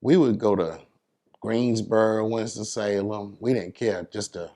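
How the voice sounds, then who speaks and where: A middle-aged American man with a fairly low voice talks casually in an interview. He is laid-back and plain-spoken, like a DJ recounting his working life. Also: a little slow, mellow. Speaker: DJ, interview guest.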